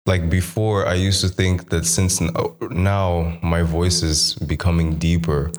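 A young man talking casually about making music, relaxed and repeating his words, slipping Portuguese words into his English. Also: sits low, a little slow. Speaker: musician guest. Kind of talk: casual chat.

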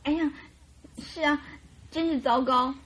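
A woman's voice: high-pitched, steady tone, a little slow, a bit bright. An actress playing a cheerful, chatty young woman typist in a radio drama, girlish and emphatic. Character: plump, cheerful, chatty young female typist in her early twenties.

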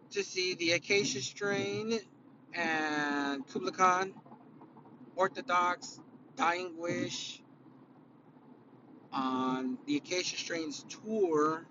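A man from California with a raspy, tired voice hosting a casual solo podcast, rambling and swearing, full of fillers and restarts. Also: high voice, slow, long pauses, muffled recording, noisy background. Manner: rambling, profane, opinionated, with many fillers and restarts. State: raspy, worn-out voice after concerts. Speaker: podcast host.

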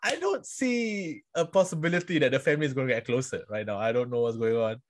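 A man's voice: mid-range voice, animated, fast talker. A man from Singapore hosting a casual podcast, telling rambling stories with lots of fillers. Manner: chatty, rambling storytelling with many fillers. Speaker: podcast host. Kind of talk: casual chat.

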